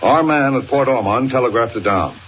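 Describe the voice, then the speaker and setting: An actor playing a brisk, urgent newspaper boss in 1940s radio style, speaking with emphatic authority. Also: male voice, medium pitch, lively intonation, close to an everyday voice. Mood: grave, urgent. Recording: muffled, noisy 1940 radio recording.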